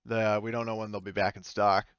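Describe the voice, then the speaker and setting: Casting: man doing a polite tabletop-game dealer, everyday clear voice, slightly dull recording. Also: on the low side, little pitch movement, brisk, a bit bright, no room sound, close to an everyday voice. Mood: polite service. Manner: courteous, businesslike. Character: polite, obliging male vehicle dealer.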